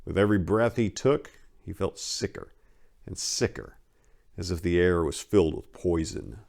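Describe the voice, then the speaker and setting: A man's voice: sits low, moderate pace, vocal fry, darkish tone. A man reading his own story aloud as dramatic narration, in a grave, ominous, emphatic manner.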